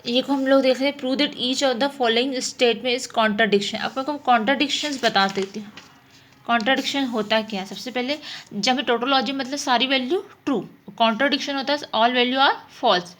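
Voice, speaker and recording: A woman's voice: high voice, normal speed, fairly bright tone, slight background noise. A woman teaching a mathematics lesson, explaining step by step and often answering her own questions. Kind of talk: informal spoken lesson, working through problems.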